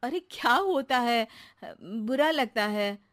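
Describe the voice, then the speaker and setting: A woman voicing a sensible, wry and firm housewife in an expressive style for a comic animated story. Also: a little high, slow. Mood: puzzled, impatient.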